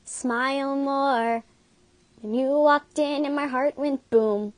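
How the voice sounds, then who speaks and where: Woman doing theatrical comic-dub character, high pitch, dry sound, brash, exaggerated, amateur parody. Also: fairly even intonation, takes it slowly, no echo. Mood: playful. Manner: exaggerated, emphatic delivery.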